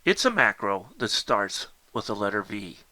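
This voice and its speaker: Bright-voiced man teaching, animated pitch. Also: normal speed, no room sound, low-quality recording. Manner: explanatory, addresses the learner directly. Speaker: instructor demonstrating a Morse code keyer. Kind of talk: step-by-step tutorial narration.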